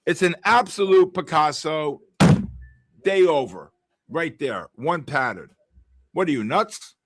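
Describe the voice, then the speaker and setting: A man with a Bronx accent hosting live market commentary, loud, exclamatory and wisecracking. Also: a little high, animated, slow. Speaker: trading show host.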